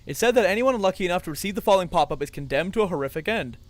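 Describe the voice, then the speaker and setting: Excitable young man streaming, swooping voice. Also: sits high, racing, no echo. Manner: excitable, theatrical, jokey. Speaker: livestream host. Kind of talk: livestream reaction, reading aloud and joking.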